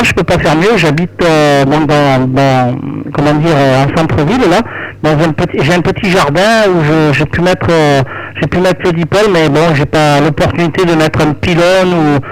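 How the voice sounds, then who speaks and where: Higher-voiced man chatting over ham radio. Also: rough recording. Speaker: amateur radio operator. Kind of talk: casual ham radio conversation.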